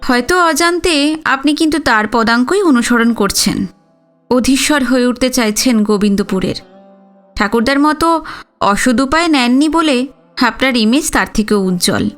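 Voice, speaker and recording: Woman acting young daughter-in-law, high voice, polite but pointed, articulate, audio story w soft music behind. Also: lively intonation, normal speed. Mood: challenging. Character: outspoken, educated young daughter-in-law, respectful but probing.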